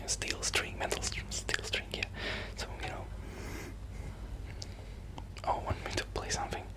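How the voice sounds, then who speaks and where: A man playing a shy, modest musician friend in a hesitant, soft-spoken ASMR roleplay. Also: close to an everyday voice, rough recording. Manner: hesitant, halting, apologetic.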